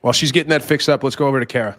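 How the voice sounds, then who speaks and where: A man's voice: mid-range voice, steady tone, rapid-fire, fairly bright tone, no echo. A man hosting a casual panel podcast, upbeat and keeping the talk moving. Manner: upbeat, keeps things moving. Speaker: podcast host and moderator.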